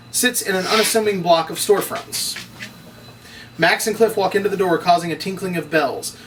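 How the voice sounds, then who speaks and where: A man's voice: high-pitched, a bit bright. A man narrating stage directions as he reads from a script at a table read. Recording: distant mic, noisy room.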